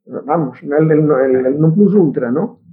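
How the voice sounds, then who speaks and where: A woman's voice: deep voice, measured pace. Woman with a Catalan accent, tinny line. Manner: firm, opinionated, restarts words often. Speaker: guest linguist. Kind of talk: casual conversation.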